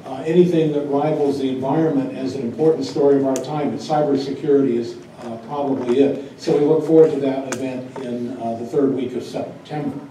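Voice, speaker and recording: A man gives formal welcome remarks on behalf of a university, hesitating often with filler sounds. His voice is deep-toned and mellow, heard from afar in a very echoey hall with faint background noise. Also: steady tone, brisk, hardly any pauses. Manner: hesitant, with many filler sounds and a dry joke. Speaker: university official welcoming guests. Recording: echoey hall, distant mic.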